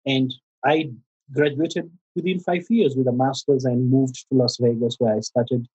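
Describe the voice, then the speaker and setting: A middle-aged man with a Kenyan accent, a therapist speaking as a podcast guest, pausing often with fillers and restarts. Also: medium pitch, fairly even intonation, dark tone, breathy edge, dull audio. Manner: halting, many fillers and restarts. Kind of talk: conversational interview.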